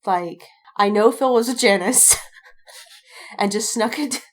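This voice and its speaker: A woman's voice: medium pitch, takes it slowly. Rambling, casually sweary woman podcaster. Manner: animated retelling with quoted reactions. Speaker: podcast co-host. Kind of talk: casual fandom chat.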